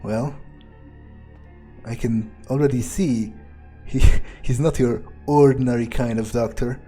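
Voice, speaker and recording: Mellow-voiced man musing through a game. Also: mid-range voice, steady tone, slow. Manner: musing, hesitant, dry understatement. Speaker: video game player role-playing his character. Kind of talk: in-character gameplay commentary, thinking aloud. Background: faint music.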